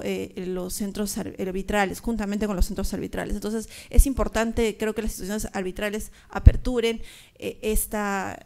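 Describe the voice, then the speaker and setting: Clear-voiced woman, halting delivery. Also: mid-range voice, a little mellow. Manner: halting, repeats words while searching. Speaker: conference panelist. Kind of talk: semi-formal conference talk.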